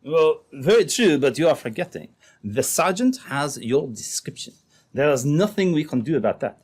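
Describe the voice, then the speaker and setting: A man playing a smooth-talking, scheming Frenchman in an old-time radio drama, phrasing things formally. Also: fairly high pitch, sing-song, normal speed, darkish tone. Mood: sly, cautioning.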